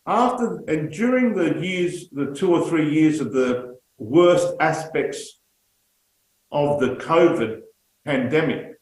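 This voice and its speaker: A man's voice: on the high side, darkish tone, reverberant, rough recording. A man from Australia with an Australian accent, a native Greek speaker, giving an earnest, somewhat pessimistic conference talk with frequent fillers. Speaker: union speaker.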